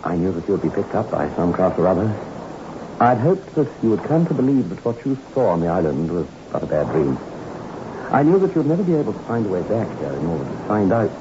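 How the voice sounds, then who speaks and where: A man's voice: deep voice, very animated, fast. An actor playing a middle-aged father who guards his troubled daughter, earnest and formal, in 1960s radio drama style. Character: grieving, pleading father guarding his deranged daughter on an island. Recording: muffled, noisy old 1960s radio recording.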